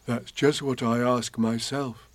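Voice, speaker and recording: A man voicing a gentle, simple bear in a storybook reading, talking slowly and softly. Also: mid-range voice, flat delivery, no echo, close to an everyday voice. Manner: slow soft drawl.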